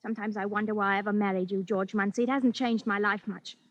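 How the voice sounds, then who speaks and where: An actress playing a practical, exasperated wife, scolding and sarcastic in a 1940s radio suspense drama. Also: female voice, mid-range voice, fairly even intonation, fast talker, dry recording, muffled recording, natural voice. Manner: scolding, sarcastic, plain-spoken. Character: nagging, practical, exasperated working-class wife.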